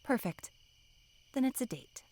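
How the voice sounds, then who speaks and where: A woman's voice: measured pace, warm tone, dry recording, lightly acted. A woman playing a sassy, teasing young female camp counselor in an audio drama, with a flippant, playful manner. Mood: playful, inviting.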